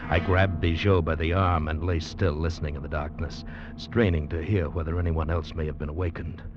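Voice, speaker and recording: An actor playing a grave, steady convict who narrates an adventure in measured, tense old radio style. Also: male voice, a bass voice. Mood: grave, suspenseful. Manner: measured, tense, deliberate storytelling. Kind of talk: 1940s-50s radio drama narration and acting. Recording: muffled old radio broadcast, music behind.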